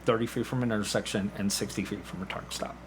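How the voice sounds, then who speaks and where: A man's voice: on the low side, fairly even intonation, fast talker, a little hiss or hum. A man chatting casually as an interview guest, telling stories and acting out bits of dialogue. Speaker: podcast guest, community theatre actor. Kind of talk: casual anecdotal interview chat.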